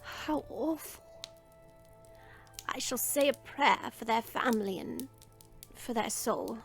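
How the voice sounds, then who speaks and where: Woman playing creaky, kindly old witch grandmother. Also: a little high, slow pace, faint music behind. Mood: regretful. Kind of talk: fantasy audio roleplay acting.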